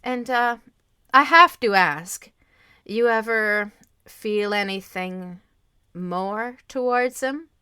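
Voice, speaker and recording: A woman playing a kindly, plain-spoken, motherly society lady in an audio drama, with a folksy, drawn-out delivery. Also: mid-range voice, bright, forceful tone, clear, resonant tone. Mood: kindly, frank.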